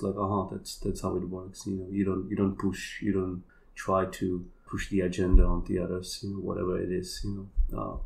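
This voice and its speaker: A man with a Polish accent, a native Polish speaker, talking in a halting, searching way as a podcast guest. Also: sits low, gravelly, a little mellow, poor audio. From Poland. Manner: halting, searching for words, many fillers. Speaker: kambo practitioner guest. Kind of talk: casual conversation.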